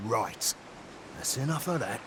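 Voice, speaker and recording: An actor playing an excitable, loyal sidekick in a video game, fretful and bluntly caring, fussing in exclamations. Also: male voice, mid-range voice, measured pace, noisy background, close to an everyday voice. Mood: concerned, exasperated.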